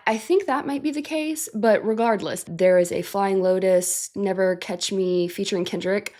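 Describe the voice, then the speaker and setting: A woman's voice: medium pitch, darkish tone. A woman hosting a music reaction channel, sick and congested with a nasal voice, chatting casually and thinking aloud with fillers. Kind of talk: casual reaction chat to camera.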